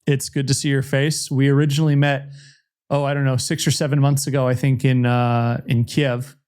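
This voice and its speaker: A man hosting a podcast in a relaxed, rambling style, with loose, friendly phrasing. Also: medium pitch, normal speed, clear, resonant tone. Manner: relaxed, rambling, many fillers and restarts. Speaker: podcast host.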